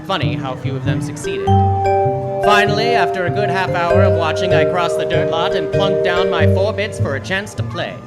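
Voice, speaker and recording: A wisecracking noir detective played by a man in a radio drama, delivering narration and retorts in a dry deadpan. The voice is a touch high for a man, with strong music behind it. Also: normal speed, close to an everyday voice. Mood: wry narration. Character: wisecracking hard-boiled male detective narrator. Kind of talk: live 1940s-style radio noir narration and dialogue.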